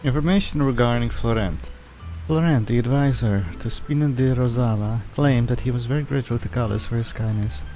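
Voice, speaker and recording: A man's voice: medium pitch, brisk, warm tone, faint music behind, poor audio. A man who streams games, reading on-screen text aloud and commenting with playful, casual asides. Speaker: game streamer.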